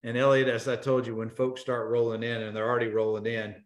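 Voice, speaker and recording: A man in his thirties hosting a live video interview, upbeat and joking with quick asides. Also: medium pitch, flat delivery. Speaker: host. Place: home office, indoors. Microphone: video call. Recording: roomy video call audio.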